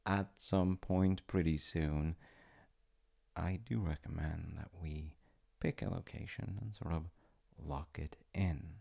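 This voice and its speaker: A man playing a playful, tender wizard fiancé in an intimate ASMR-style audio roleplay, speaking to the listener in a gentle, coaxing way. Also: sits low, takes it slowly, gravelly, heavily acted. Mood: playful, excited. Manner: gentle, coaxing, often dropping into whispers. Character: playful, tender male wizard fiancé showing off magical home.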